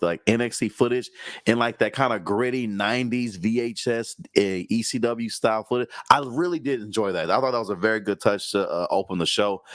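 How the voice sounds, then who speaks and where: A man's voice: fairly low pitch, dry recording, studio quality. A man co-hosting a casual wrestling review, with a stuffy nose and a rambling way of talking full of likes and restarts. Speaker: co-host. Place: home in Houston.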